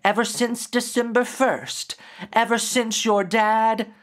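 Brief: narrator doing a sly little grief demon for a storybook, hissing, drawn-out, pitched high and strange. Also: steady tone, lightly acted. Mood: knowing. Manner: hissing, slow and knowing. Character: sly male grief demon, small, mocking.

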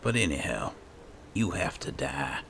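A man gives casual gameplay commentary in an extremely low, crackling voice. His pitch moves around in a lively way. Clear background noise with game ambience sits behind him. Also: a little slow. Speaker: gameplay host.

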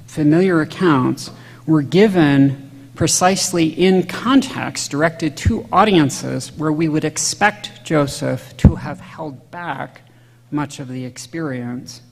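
A man's voice: medium pitch, gravelly, darkish tone. A middle-aged man giving an earnest, explanatory conference lecture at a lectern in a large hall, sometimes correcting himself mid-sentence. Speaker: conference speaker. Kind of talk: conference lecture on church history. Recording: long hall reverb.

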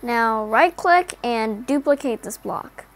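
A woman's voice: on the high side, lively intonation, slow pace. A woman narrating a step-by-step coding tutorial in a plain, instructing voice-over. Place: voice-over over screen recording. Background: faint background music.

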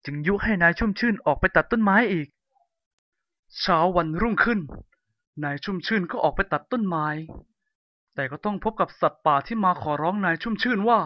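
A storyteller narrating a cartoon folk tale in a lively, expressive way. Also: medium pitch, normal speed, no room sound, highs cut off.

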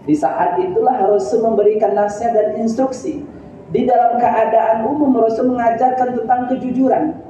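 A man's voice: very high voice, steady tone, normal speed, dark tone, a little hiss or hum. A man in his thirties giving a Friday sermon, telling a story with earnest, rising emphasis, recorded on a webcam. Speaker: Friday preacher. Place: room in front of a green-screen backdrop. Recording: distant, very roomy, dull lo-fi webcam sound.

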